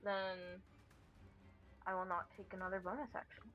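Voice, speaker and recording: A woman's voice: mid-range voice, steady tone, moderate pace, soft background music. A woman chatting casually as a player in a tabletop game, heard on dull online call audio.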